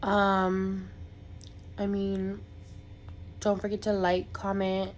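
A woman's voice: medium pitch, completely flat delivery, takes it slowly, a little hiss or hum. A young woman, congested from a cold, rambling casually in a vlog with lots of fillers. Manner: rambling, filler-heavy, chatty. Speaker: vlogger.

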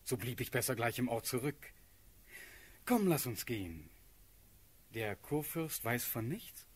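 An actor playing a dreamy, passionate young prince and cavalry officer in a radio play, declaiming classical verse in a halting, rapt way. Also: male voice, mid-range voice, expressive, husky. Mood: distracted.

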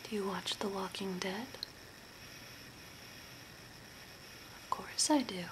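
A woman voicing an eerie, deadpan female zombie makeover artist in a soft, breathy, mostly whispered ASMR role play, speaking slowly and deliberately. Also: medium pitch, lightly acted. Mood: curious, deadpan. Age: young adult. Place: small curtained room at home, indoors. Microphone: close to the camera. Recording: noisy amateur home recording.

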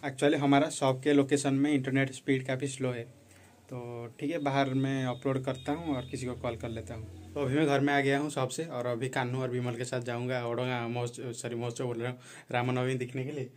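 A young man talks through his day in a relaxed, rambling way into a handheld phone. His delivery stays flat and even. Faint background noise sits behind the voice. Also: medium pitch, fairly quick. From Odisha, India. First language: Odia. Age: young adult. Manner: relaxed, rambling, many fillers. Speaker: vlogger. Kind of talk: casual daily vlog narration. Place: small village shop and outdoors. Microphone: phone camera at arm's length.

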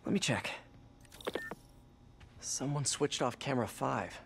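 An actor playing a young, quippy, curious masked superhero in a video game, with an American accent and casual delivery. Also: male voice, mid-range voice, slow, gravelly, noisy background. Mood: focused, curious. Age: young adult. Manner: wisecracking, casual.

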